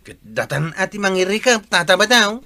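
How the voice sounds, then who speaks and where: A man's voice: high voice, big pitch swings, moderate pace, sharp tone, exaggerated voice. A man with an Ilocano accent acting a nosy, grumbling nephew in a village comedy drama. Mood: complaining.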